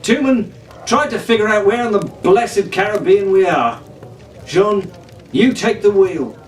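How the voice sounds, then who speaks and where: A man's voice: sits high, measured pace, pitched above the performer's own voice. A man voicing a cocky, cheerful teenage pirate captain with a breezy, swaggering, commanding manner. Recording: roomy, distant, noisy home recording.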